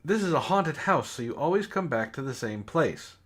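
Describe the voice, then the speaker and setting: A man's voice: on the high side, sing-song, moderate pace, slightly rough. A man reading bad fan fiction in mock-dramatic style, with exasperated, sarcastic asides. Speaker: reader on a comedy book club show.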